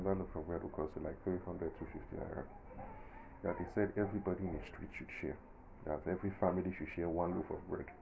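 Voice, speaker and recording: A man's voice: low voice, gravelly, phone-quality sound, noisy background. A man in his forties with a Nigerian accent, giving his own emphatic, indignant opinion in a monologue. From southern Nigeria. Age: 40s. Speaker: commentator.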